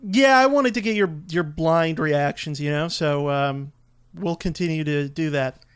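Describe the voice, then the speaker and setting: A man's voice: a little high, measured pace, smooth, no room sound. A man giving casual, explanatory game commentary as the host of a let's play, in informal language.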